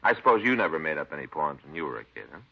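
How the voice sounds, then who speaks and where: A peevish, blustering policeman in a vintage radio drama. His low voice swings widely in pitch, touchy and exasperated. The old recording sounds lo-fi. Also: sing-song, rapid-fire, vocal fry, heavily acted. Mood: irritated. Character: touchy, exasperated male policeman, the detective's partner.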